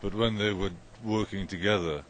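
A man hosting a casual podcast, talking in a relaxed, rambling way with many fillers, recorded outdoors on a handheld recorder. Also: lowish voice, moderate pace, a little hiss or hum. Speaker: podcast host. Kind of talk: casual chat. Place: outdoors at a festival.

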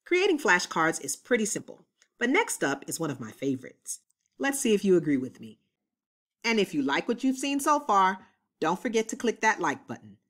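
A woman teacher giving clear step-by-step instructions in a screen-recorded software tutorial, voiced over the screen. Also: medium pitch, huge pitch swings, normal speed.

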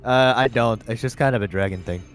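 A man's voice: mid-range voice, fairly quick, lightly acted. A man playing an earnest, sheepish young wizard who turns into a dragon, speaking hesitantly in a Minecraft roleplay. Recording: loud music under the voice.

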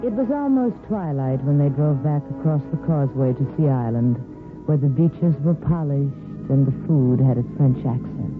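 An actor narrating a 1950s radio soap opera as a romantic storyteller. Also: male voice, mid-range voice, expressive, moderate pace, close to an everyday voice. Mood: wistful, measured. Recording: tinny old radio with loud music.

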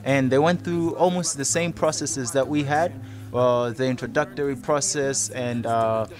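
A man in his twenties speaks at a middling pitch, explaining and reflecting as in a documentary interview. Faint music plays under his voice. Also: fairly even intonation, unhurried. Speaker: youth theatre project facilitator.

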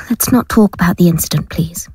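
A woman's voice: on the low side, moderate pace, darkish tone, husky. A woman playing a nervous, earnest girlfriend dabbling in ghost-banishing spells in an audio drama. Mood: uneasy. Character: anxious abused girlfriend turned ghost-banishing conjurer.

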